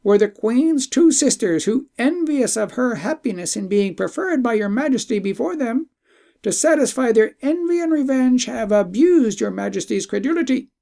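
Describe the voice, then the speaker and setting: Narrator putting on a high, bold talking bird. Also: animated, normal speed, gravelly, warm tone. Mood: reproachful, revealing. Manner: high, emphatic, declamatory. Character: enchanted talking bird, eloquent, bold truth-teller.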